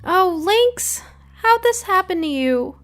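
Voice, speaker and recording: A woman's voice: very high-pitched, expressive, slow, a bit bright, voice pushed up, full character voice. A woman voicing an earnest, frightened young girl in a narrated spooky story. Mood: worried. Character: young girl, frightened trick-or-treater with a pet cat.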